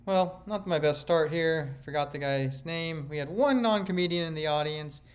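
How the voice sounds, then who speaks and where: A man's voice: on the high side, moderate pace. A man, a comedian, talks through his own stand-up set in a reflective, self-critical way, rambling with frequent restarts. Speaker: comedian and vlogger.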